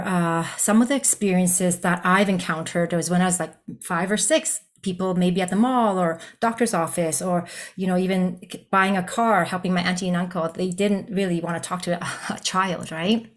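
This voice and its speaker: A woman's voice: fairly low pitch, fairly even intonation, moderate pace, few pauses. A woman whose first language is Cantonese, a psychologist and counselor educator, telling her personal story in an earnest, conversational way on a video call. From Vietnam. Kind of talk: virtual presentation, personal story.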